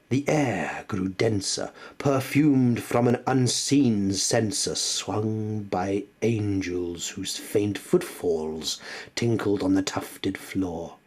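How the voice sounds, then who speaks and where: Slow, husky man reciting Gothic verse. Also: low-pitched. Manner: brooding, theatrical, deliberate pauses. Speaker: poetry reader.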